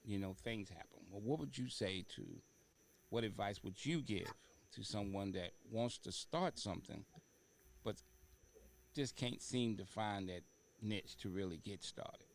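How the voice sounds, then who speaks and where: A man's voice: fairly low pitch, measured pace, creaky, slight background noise. A man hosting a talk show, speaking casually and feeling his way through his questions.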